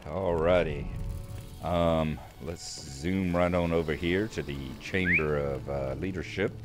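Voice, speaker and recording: A man hosting a game playthrough, hoarse from a cold and losing his voice, chatting casually and reading quest text aloud. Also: slow, music in the background. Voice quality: deep voice. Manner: relaxed, chatty, self-deprecating. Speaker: let's play host.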